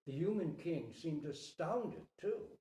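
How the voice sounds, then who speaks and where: A man's voice: on the high side, expressive, dark tone. A man giving a dramatic, emphatic storytelling reading of a parable, drawing out his phrases. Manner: theatrical, emphatic, with drawn-out phrases. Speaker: storyteller, Zen teacher. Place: reverberant room at a retreat talk. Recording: roomy, echoing live recording.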